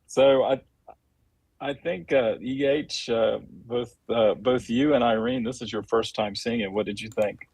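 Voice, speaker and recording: Man, casual livestream host, raspy with vocal fry, mid-pitched, dry recording. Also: steady tone, measured pace, darkish tone, no room sound, rough recording. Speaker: livestream host and comic creator.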